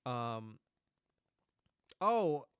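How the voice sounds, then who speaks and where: A man's voice: medium pitch, very animated, drawn-out words, fairly bright tone, clean tone, no echo. A man streaming a review of esports matches in casual, blunt, profane live commentary, rambling with restarts while losing his voice.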